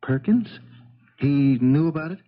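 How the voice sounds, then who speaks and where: An American actor playing a cocky, shifty young schemer in a 1970s radio drama, slangy, evasive and quick to get defensive. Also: male voice, a little high, expressive. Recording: dull, muffled old radio recording.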